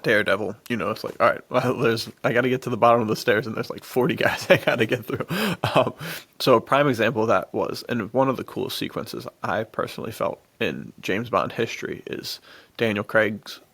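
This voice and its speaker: Guy podcasting, kinda low gravelly fry, super stoked and gushy, talks in slang. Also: a little fast, fairly bright tone. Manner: gushing, slangy, laughs often. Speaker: podcast co-host. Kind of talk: casual enthusiastic chat about action films.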